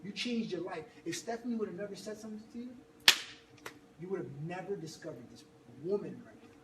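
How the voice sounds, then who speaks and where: A man's voice: high voice, fast. A man coaching a fitness group, talking with energy and hyping people up. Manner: energetic, hypes people up, laughs often. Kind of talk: motivational group talk. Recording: roomy, from far off, noisy.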